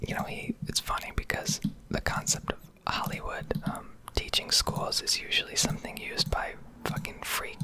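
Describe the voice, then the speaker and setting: A young American woman whispers a casual, reflective ASMR ramble close to the microphone while gaming. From United States. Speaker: ASMR streamer.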